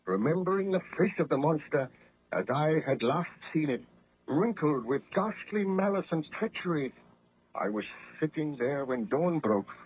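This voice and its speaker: Anguished, high-pitched scientist baron. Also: male voice, lively intonation, measured pace, slightly rough. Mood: haunted, resolute. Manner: theatrical, impassioned, declamatory. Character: anguished young scientist baron, horrified and defiant. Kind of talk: 1930s radio acting. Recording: dull lo-fi old radio recording.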